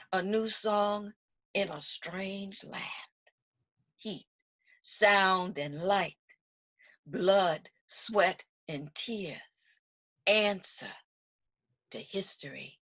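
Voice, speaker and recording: A woman reciting spoken word poetry with deliberate, dramatic phrasing and long pauses between short lines. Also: medium pitch, fairly bright tone.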